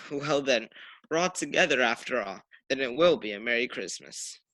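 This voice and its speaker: A man's voice: mid-range voice, lively intonation, moderate pace, pushed and bright, no echo. A man playing a merry, tender-hearted father, a poor clerk, in a radio play.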